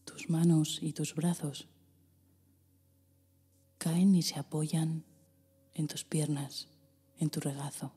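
A woman with a Spain Spanish accent, guiding a meditation in a slow, soft voice that often drops into a whisper. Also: deep voice, flat delivery, in a large hall. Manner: slow, soft, breathy delivery with whispered passages. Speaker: meditation guide. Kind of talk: guided meditation.

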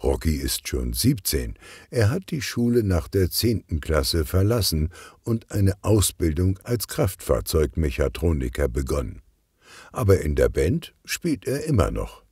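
Male audiobook narrator for children's mystery: low, lively intonation, unhurried, close dry sound. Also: slightly rough, no room sound. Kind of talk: scripted children's story narration.

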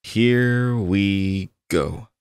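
Man acting as a deep-voiced, eager game developer. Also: drawn-out words, darkish tone, clean tone, no echo. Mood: attentive, helpful. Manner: conversational, attentive, slightly awkward, apologetic. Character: eager, soft-spoken obsessive male game developer. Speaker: roleplay voice actor. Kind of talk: ASMR-style roleplay addressed to the listener.

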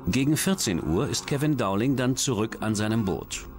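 A man's voice: lowish voice, animated, moderate pace, lightly acted. A man reading scripted true-crime documentary narration as the narrator. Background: background music.

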